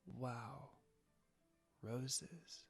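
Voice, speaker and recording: A man's voice: medium pitch, extremely slow, gravelly, husky, exaggerated voice. A man voicing a playful, teasing young woman in an anime fanfiction story. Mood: soft, musing. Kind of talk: dramatized fanfiction storybook reading. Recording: music behind the voice.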